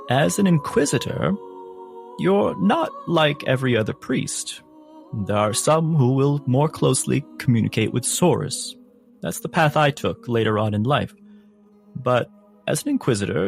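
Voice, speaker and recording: Man playing a gentle old priest, creaky voice. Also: mid-range voice, voice pushed up, full character voice. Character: kindly old priest and mentor, patient, gently teasing.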